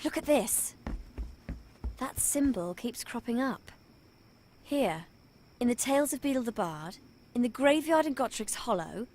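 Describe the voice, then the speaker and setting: Casting: exacting young British witch, high voice, forthright and articulate, game drama, some background noise. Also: animated, measured pace, a little mellow. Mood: intent, serious. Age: young adult. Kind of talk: dramatic film-style game acting.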